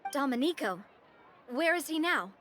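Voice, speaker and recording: A woman voicing a confident young lady leader in a video game, assured, gracious and expressive. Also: sits high, normal speed, a little hiss or hum. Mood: earnest, helpful.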